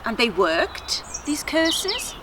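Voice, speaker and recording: A woman's voice: high voice, sing-song, drawn-out words, pitched above the performer's own voice, full character voice. A woman voicing a curious, nervous young servant boy in a children's story, full of eager questions. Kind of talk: children's storybook character reading. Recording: faint outdoor garden noise.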